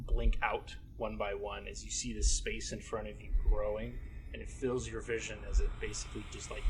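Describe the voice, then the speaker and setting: A man acting as game master, narrating a horror tabletop scene in halting, suspenseful phrases. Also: lowish voice, noisy background. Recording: loud music underneath.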